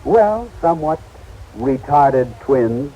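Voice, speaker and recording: An actor playing a calm, kindly otherworldly man, hesitant and musing, in radio drama style. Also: mid-range voice, takes it slowly, highs cut off.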